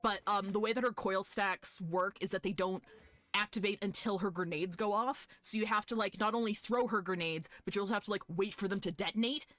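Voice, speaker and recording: A woman streaming a game live, chatting casually with viewers in an animated, sweary, fan-like way. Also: medium pitch, a little fast, no echo. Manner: foul-mouthed. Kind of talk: casual chat with viewers while gaming.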